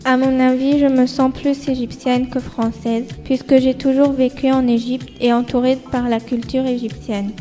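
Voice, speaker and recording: Flat-toned woman from Egypt, mellow voice. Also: sits high, faint music behind. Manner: careful, measured reading. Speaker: student guest. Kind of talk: reading a prepared personal account.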